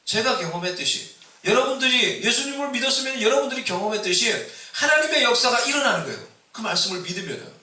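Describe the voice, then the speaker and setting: Male pastor from Seoul, Easter sermon, ringing bright tone, distant mic in an echoey church hall. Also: animated, fast talker. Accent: Seoul Korean with a mix of Busan dialect. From Seoul, Korea. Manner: rises loud and high on exhortations, asks the congregation questions.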